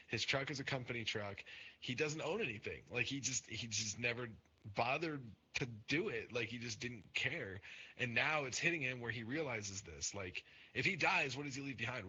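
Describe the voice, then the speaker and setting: A man's voice: medium pitch, animated, moderate pace, bright, forceful tone, breathy edge, dull audio. A young man hosting a casual podcast, talking earnestly and rambling with many fillers and restarts. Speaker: podcast host and Twitch streamer.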